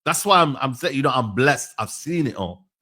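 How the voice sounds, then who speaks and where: A man's voice: medium pitch, expressive, fairly quick, sharp tone, husky. Rambling man in his thirties. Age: 30s. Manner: rambling, repeats himself, stumbles over words. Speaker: podcast host. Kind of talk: heated casual football debate.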